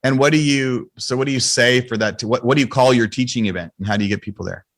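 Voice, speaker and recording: A man's voice: medium pitch, quick pace. Chatty male podcast host. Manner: informal, chatty. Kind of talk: casual chat.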